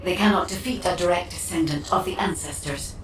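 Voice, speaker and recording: A woman's voice: lowish voice, lightly acted. A woman playing a composed, precise and slightly haughty AI guide in a video game, speaking formally and matter-of-factly. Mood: composed, informative. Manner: formal, measured, matter-of-fact. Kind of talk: video game scripted dialogue. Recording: roomy, distant, faint noise.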